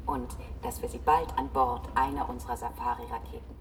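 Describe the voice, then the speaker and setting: An actress playing a polite, unyielding travel service machine in a German science-fiction radio drama, formal and formulaic. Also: female voice, rumbling low, fairly even intonation, brisk, recorded from a distance, a little hiss or hum. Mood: courteous, formulaic. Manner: formal, formulaic, repeats set phrases.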